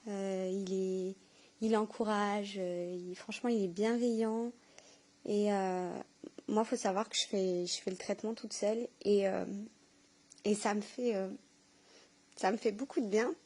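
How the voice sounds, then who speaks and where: A woman giving a spontaneous, heartfelt testimony, speaking haltingly and earnestly as she searches for her words. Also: medium pitch, fairly even intonation, mellow. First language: French. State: emotional, tearful with joy. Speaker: person giving a personal testimony.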